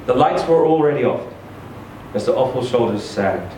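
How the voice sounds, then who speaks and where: A man reading a short story aloud in an expressive, theatrical, emphatic storytelling style. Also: medium pitch, moderate pace. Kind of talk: expressive live literary reading. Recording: echoey room, distant mic, faint noise.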